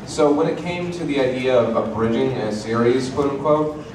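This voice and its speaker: A man speaking as a convention panelist, telling a story casually and haltingly to a live audience. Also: mid-range voice. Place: large hotel convention panel room. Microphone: far audience camera. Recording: echoey hall, distant noisy handheld camera audio.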